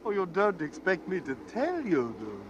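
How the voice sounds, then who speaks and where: An actor playing a smug, genteel stranger with a condescending, suave manner in an old-time radio drama. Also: male voice, high-pitched, wildly swooping pitch, normal speed, muffled recording. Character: smug, genteel, sinister male stranger boasting of murder. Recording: noisy vintage radio recording.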